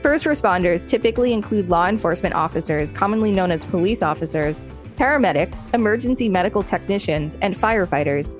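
A woman's voice: mid-range voice, lively intonation, quick pace, soft background music. A woman hosting a podcast, reading encyclopedia articles aloud with brief commentary. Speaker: podcast host and reader.

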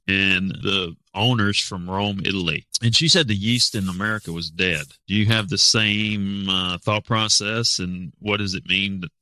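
Male interviewer, very low voice, very bright sharp tone, dry close sound, casual questions. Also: fairly even intonation, normal speed, few pauses, dry recording. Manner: conversational, asks loosely phrased questions.

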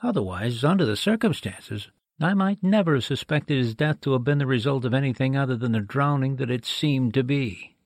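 An actor playing a measured, formal medical examiner who explains precisely, in a 1940s-style radio drama. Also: male voice, medium pitch, expressive, no echo, studio quality. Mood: precise, authoritative.

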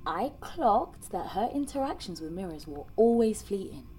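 A young London woman, played by an actress, confides in the listener in an audio drama monologue. She is anxious, wry and self-mocking, with candid, conversational delivery and very lively pitch. Faint ambient sound sits behind her voice. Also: normal speed, a little hiss or hum, close to an everyday voice. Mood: reflective, uneasy. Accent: London, British. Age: young adult.

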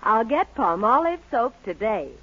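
An eager woman recites a rhyming jingle in a sing-song 1940s radio commercial style. Her voice is a little rough and very lively in pitch, on a muffled old recording. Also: a little slow. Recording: muffled vintage radio broadcast.

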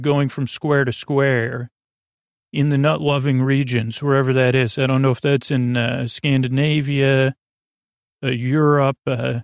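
A man hosting a sleep podcast with a meandering, halting monologue, often stammering and drifting off on tangents. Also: mid-range voice, gravelly, no room sound, studio quality. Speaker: podcast host.

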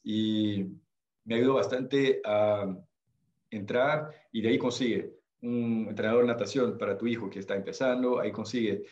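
Low-voiced Brazilian man, halting Spanish. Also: fairly even intonation, a little mellow, dull audio. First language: Portuguese. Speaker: podcast guest, amateur triathlete. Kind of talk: casual conversational storytelling.

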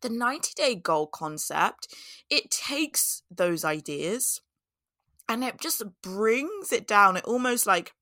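Woman podcast host, hoarse from a cold, scratchy, bright tone, dry close sound. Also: a little high, animated, slow pace, no echo. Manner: chatty, laughs at herself, repeats key points. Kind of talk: casual solo podcast talk.

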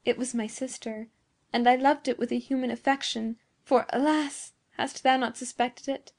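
A sorrowful young woman, as a woman narrator acts her out in a dramatic storybook tale. The voice is fairly high, tender and plaintive, with gentle, pleading archaic phrasing. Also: steady tone, moderate pace.

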